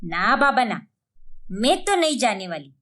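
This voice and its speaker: An ageing, stubborn woman from a family drama tale, acted out by a female narrator. She protests bluntly and with exasperation, her intonation very animated. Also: on the high side, a little slow. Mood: exasperated refusal.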